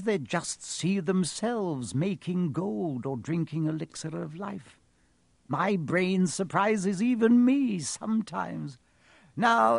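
A man voicing an elderly wizard headmaster in a storybook, dreamy, whimsical and gently pleased with himself, musing without hurry. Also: fairly high pitch, creaky, dry recording, voice pushed up, exaggerated voice. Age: older.

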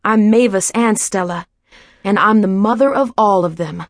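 A woman reads an intimate first-person monologue in an audiobook, musing at a middle pitch. The sound is dry and close. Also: normal speed, no room sound. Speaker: narrator.

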